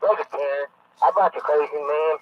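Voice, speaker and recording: A man's voice: on the high side, a little fast. A man giving brief, casual replies as an operator over a CB radio transmission. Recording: dull lo-fi CB radio with clear noise.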